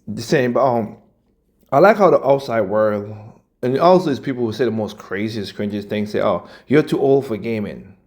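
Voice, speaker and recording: A man in his forties hosting a gaming channel, speaking in casual commentary, often rising to loud, emphatic outbursts. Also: fairly low pitch, expressive, normal speed. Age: 40s. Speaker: channel host reacting to clips.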